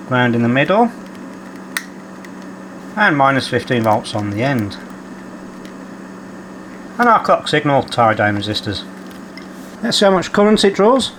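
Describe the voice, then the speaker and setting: Repair-channel host, male, slightly higher pitch, methodical and matter-of-fact. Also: background noise. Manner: methodical, reads out measurements as he works. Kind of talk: calm narrated workshop repair. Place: home workshop.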